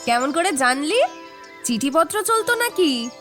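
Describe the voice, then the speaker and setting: An actress voicing a sharp-tongued married woman, a mother of three, in a radio drama, with music underneath. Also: high voice, big pitch swings, a little slow, exaggerated voice. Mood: teasing. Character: older married woman, sharp-tongued elder sister-in-law.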